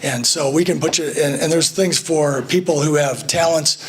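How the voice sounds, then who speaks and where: A man speaking casually at a club meeting, recruiting volunteers, halting and full of fillers. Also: fairly high pitch. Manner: plain, halting, many fillers. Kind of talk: casual public talk at a meeting.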